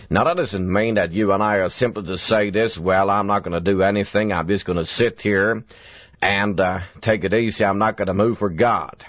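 Older preacher, slow and earnest, kinda muffled dry old tape sound. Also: male voice, lowish voice, no echo. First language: Swedish. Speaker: evangelist preacher. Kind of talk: recorded sermon. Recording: dull lo-fi dry recording.

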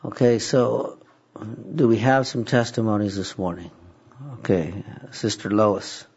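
A hoarse, raspy-voiced man leads a church gathering, speaking very slowly with a fairly low voice. He talks in a halting, unhurried way, full of pauses and fillers. The recording sounds dull and lo-fi. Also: a little mellow. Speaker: church service leader. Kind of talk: casual talk from the pulpit. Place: church service.

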